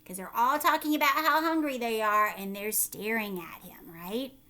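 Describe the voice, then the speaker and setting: A woman teacher reading a children's story aloud expressively, with dramatic pauses and questions for young listeners. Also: on the high side, bright, forceful tone.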